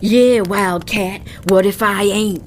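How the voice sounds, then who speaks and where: An actress playing a bold, wry frontier scout with a folksy drawl in a western audio drama. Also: female voice, medium pitch, steady tone, slow, a little hiss or hum, lightly acted. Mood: wry menace.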